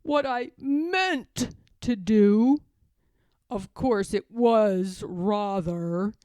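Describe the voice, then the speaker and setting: A woman's voice: mid-range voice, drawn-out words, a little mellow, clean tone, no echo, clean studio sound. A woman voicing a small male bear from a storybook, earnest and muddled, musing ponderously in a simple-minded way. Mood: rueful. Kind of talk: storybook character voice.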